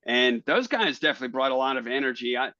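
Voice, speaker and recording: A man with a bright, forceful voice chats casually as a podcast co-host. He stumbles and restarts his phrases as he talks. The sound is dry and a little dull. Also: mid-range voice, animated, fast talker, no echo. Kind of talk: casual chat.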